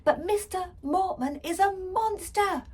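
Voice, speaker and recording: A high, urgent young girl, played by a woman reading a storybook. She is breathless and frantic, shouting and pleading to be believed. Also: unhurried. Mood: frustrated. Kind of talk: children's storybook character voice.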